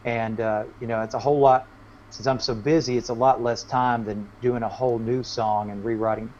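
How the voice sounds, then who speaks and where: Male guest musician, unhurried rambling chat, understated delivery, muddy low-fidelity recording. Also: fairly even intonation, a little mellow, highs cut off, a little hiss or hum. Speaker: guest singer-songwriter. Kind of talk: casual chat.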